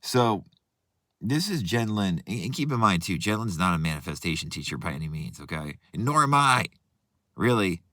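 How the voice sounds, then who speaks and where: A man with a very low, hoarse voice talks earnestly as a coach. He often restarts and steps aside from his point. The sound is dry and close. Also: big pitch swings, normal speed, pushed and bright, no room sound. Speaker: manifestation coach. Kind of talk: reflective talk with book readings.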